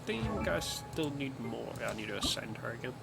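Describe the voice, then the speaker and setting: A man's voice: medium pitch, very animated, moderate pace, vocal fry. A man giving casual gameplay commentary as he streams a game. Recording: loud game music over voice.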